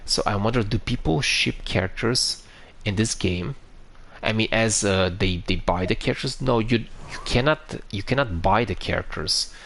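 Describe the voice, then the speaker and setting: A man's voice: on the low side, moderate pace, creaky, a bit bright. A man from Romania with a Romanian accent, chatting casually on a live stream, answering viewers and explaining a game with frequent restarts.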